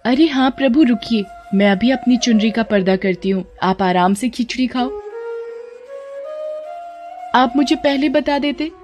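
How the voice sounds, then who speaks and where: A woman's voice: a little high, a little slow, long pauses, over music, voice pushed up, natural voice. A woman playing an innocent, stubborn, devout young village girl in a devotional story, earnest and simple-hearted. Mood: relieved, scolding. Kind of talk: Hindi devotional story acting.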